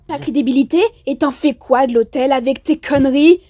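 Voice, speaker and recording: A fierce, protective demon woman, voiced by a woman, speaking high and sharp. She scolds with quick anger, as in a fan-dubbed cartoon. Faint music plays behind her. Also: steady tone, natural voice. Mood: angry. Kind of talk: fan dub acting.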